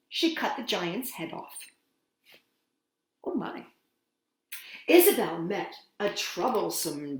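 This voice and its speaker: A woman reads a picture book to young children, her voice rising and falling widely as she leans into the drama of each line. She takes her time, lingering on words and pausing for effect. The room around her rings with a noticeable echo. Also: high voice. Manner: expressive, sing-song storytelling with dramatic pauses. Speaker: children's storytime host. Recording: roomy echo.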